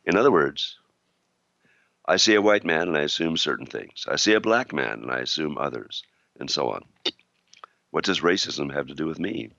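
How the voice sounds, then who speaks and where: A man co-hosting an internet talk radio show, reading a scripted introduction with a sore throat that makes his voice gravelly and deep. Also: animated, normal speed, pauses often, pushed and bright, no echo, muffled recording.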